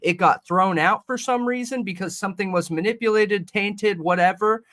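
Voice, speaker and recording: A man's voice: high voice, moderate pace, a bit bright. A man co-hosting a livestreamed podcast, chatting casually and rambling with restarts into a desk mic that has a slight metallic ring. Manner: rambling, restarts mid-thought. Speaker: podcast co-host.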